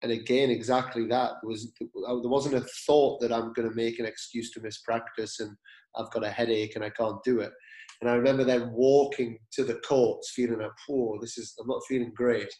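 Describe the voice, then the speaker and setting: A male podcast host chats in a relaxed way, often agreeing briefly and telling his own story. His mid-range voice is heard in an echoey room. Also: medium pitch, fairly quick. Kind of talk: conversational interview.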